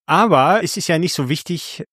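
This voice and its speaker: Guy cohosting a podcast, kinda high voice, rambles n restarts a lot, dry close sound. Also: animated, moderate pace, fairly bright tone, breathy edge, dry recording. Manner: rambling, self-correcting. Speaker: podcast co-host. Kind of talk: casual chat.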